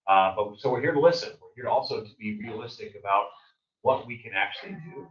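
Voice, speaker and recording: A man serving as sheriff, speaking loudly and directly to a community meeting. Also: on the low side, a little fast. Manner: loud, direct and plainspoken. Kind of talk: public meeting remarks. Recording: dull roomy sound from far off.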